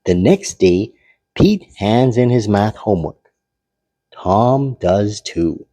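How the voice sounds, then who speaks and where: A man narrating a children's storybook aloud in an animated, playful, deliberate way. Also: on the low side, no room sound.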